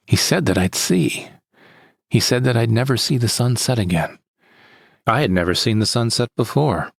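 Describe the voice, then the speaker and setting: A man's voice: medium pitch, creaky, no echo, clean studio sound. A man playing a frightened, bitter victim who recounts his ordeal in plain, short sentences for an audio drama monologue. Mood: distressed. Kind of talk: first-person scripted audio drama monologue.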